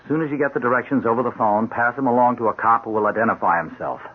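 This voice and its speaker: An actor in a radio drama playing a steady, protective policeman, brisk and reassuring in manner. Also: mid-range voice, phone-quality sound, natural voice. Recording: thin noisy radio drama recording.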